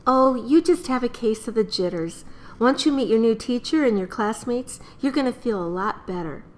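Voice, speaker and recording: An American woman playing a brisk, caring mother of a young family in a radio play. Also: a little high, lively intonation, natural voice. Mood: reassuring. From United States.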